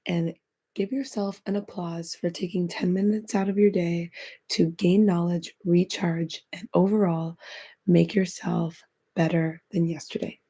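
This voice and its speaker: Woman host, husky and raspy after illness, warm lowish voice, casual self-help talk. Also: steady tone, unhurried. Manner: chatty, encouraging, repeats phrases. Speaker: podcast host.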